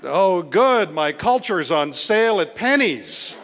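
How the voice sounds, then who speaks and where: Stand-up comedian from Hawaii, deadpan wry delivery, somewhat high voice, long hall reverb, crowd noise behind. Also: male voice, slow pace. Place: comedy club stage. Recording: live club stage with long reverb and audible crowd.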